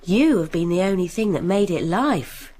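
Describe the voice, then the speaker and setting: An actress playing a weary, sorrowful young seamstress in a radio drama, tender and plaintive, lingering on repeated phrases. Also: female voice, lowish voice, lively intonation, normal speed, dark tone, a little hiss or hum, natural voice. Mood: weary despair. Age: young adult.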